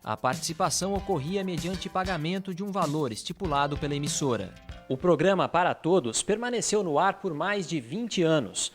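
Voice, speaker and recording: A Brazilian man delivers scripted documentary narration in a slightly high male voice. Music plays clearly behind him as he reads.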